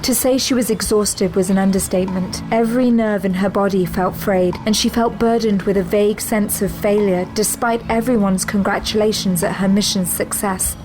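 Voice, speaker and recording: A woman's voice: medium pitch, steady tone, moderate pace, hardly any pauses, noisy background. A woman reading scripted narration for an audio drama as its storyteller.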